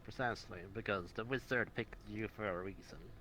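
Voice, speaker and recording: A man playing a measured, protective doctor with strange powers in an improvised online roleplay, speaking formally. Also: fairly low pitch, sharp tone. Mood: musing. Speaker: roleplay player. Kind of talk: improvised in-character roleplay chat. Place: virtual reality voice chat. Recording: noisy online voice chat.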